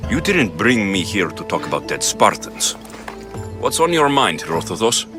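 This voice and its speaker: Gruff stubborn mercenary warrior, lowish voice, very up and down, defiant, game cutscene w music behind. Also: male voice, very animated, moderate pace. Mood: impatient. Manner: terse, forceful, defiant. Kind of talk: video game cutscene acting.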